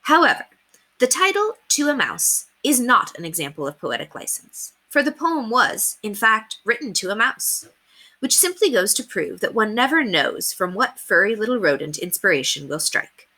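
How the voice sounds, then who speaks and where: A woman reading a children's story aloud as a teacher would, with deliberate emphasis on key words. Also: mid-range voice, very animated, pushed and bright. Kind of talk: expressive storybook read-aloud narration.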